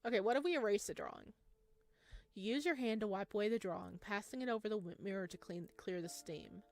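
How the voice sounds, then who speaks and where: A woman's voice: medium pitch, fast, a little mellow. A woman doing a casual let's-play, reading game narration aloud dramatically and commenting as she goes. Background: music.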